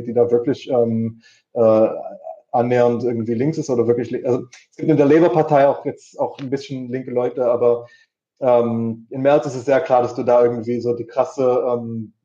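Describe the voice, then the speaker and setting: A man's voice: medium pitch, warm tone. A man talking in a casual political discussion, hesitant, with many fillers and restarts. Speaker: guest. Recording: dull lo-fi sound.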